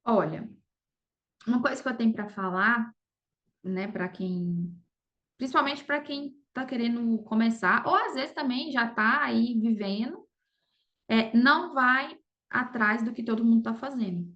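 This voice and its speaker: A woman's voice: on the high side, measured pace. A woman with a Brazilian Portuguese accent giving casual advice as a podcast guest, informal, often checking that she is understood, on slightly lo-fi audio. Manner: informal, frequent check-in tag questions. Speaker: podcast guest, affiliate marketer.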